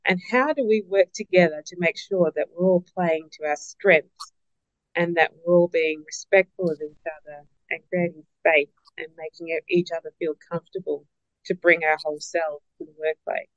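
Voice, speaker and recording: A woman's voice: on the low side, fairly even intonation, normal speed, no echo, dull audio. A woman answering as a guest on a podcast, reflective, often repeating words as she starts a point and asking herself questions. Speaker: business partner and podcast guest. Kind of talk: interview answers.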